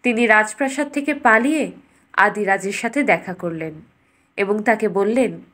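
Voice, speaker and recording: A woman's voice: medium pitch, normal speed, a bit bright. A woman storyteller reading a scripted fairytale as narration for a bedtime story.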